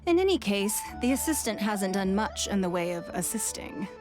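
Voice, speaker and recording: A woman's voice: medium pitch, very animated, moderate pace, close to an everyday voice. A woman voicing a poised, coolly amused female judge in a video game, with music behind. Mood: unbothered. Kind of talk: English video game voice acting.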